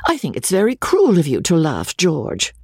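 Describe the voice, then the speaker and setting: A man voicing a gentle, tender-hearted young woman who speaks softly. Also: high voice, lively intonation, normal speed, dry recording, clean studio sound, voice pushed up.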